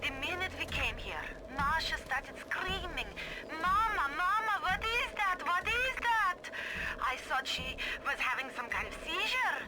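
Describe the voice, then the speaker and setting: A woman's voice: extremely high pitch, sing-song, a little slow, loud music behind the voice, exaggerated voice. An actress playing a wistful, regretful mother confiding in an audio diary in a video game. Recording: very noisy old audio diary recording.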